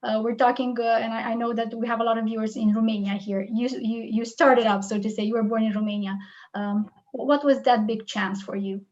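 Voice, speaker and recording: Chatty woman interviewer, slightly high voice. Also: steady tone, fairly quick, a little mellow. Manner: friendly, chatty, with many fillers. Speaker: interviewer, host. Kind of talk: conversational interview. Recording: slightly dull lo-fi sound.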